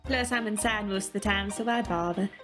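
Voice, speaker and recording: A woman's voice: medium pitch, a little fast, over music, pitched above the performer's own voice, lightly acted. A woman voicing a playful, boastful female saloon keeper who teases in a western video game. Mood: self-amused. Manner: playful, teasing, self-amused. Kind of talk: video game character read-aloud.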